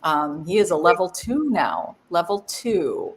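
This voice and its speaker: A woman's voice: on the low side, huge pitch swings, unhurried. A woman co-hosting a casual live stream, giggly and a bit phlegmy, greeting viewers by name. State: phlegmy, coughing.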